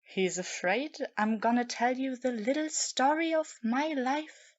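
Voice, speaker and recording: A woman's voice: high-pitched, lively intonation, unhurried, highs cut off. A woman in an audio play acting a bitter, drunken and volatile jilted young woman with a taunting manner.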